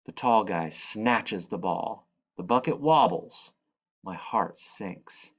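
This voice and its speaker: A man reading a children's picture book aloud, expressively, with dramatic and deliberate phrasing. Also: on the low side, expressive, a bit bright. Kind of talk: expressive read-aloud narration.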